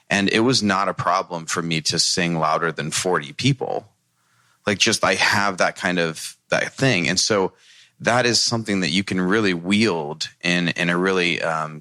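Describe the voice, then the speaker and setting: A man's voice: low-pitched, pushed and bright, dry recording. A man speaking as a panel guest, earnest and reflective as he talks about his own experience. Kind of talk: reflective podcast discussion.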